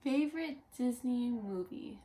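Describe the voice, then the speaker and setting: A woman's voice: a little high, a little slow, mellow. A woman in her early twenties chatting casually and unscripted on a vlog, rambling and peppering her sentences with "like". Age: early 20s. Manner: rambling, frequent "like". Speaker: co-host of a Disney fan vlog. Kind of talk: casual unscripted chat, answering tag questions.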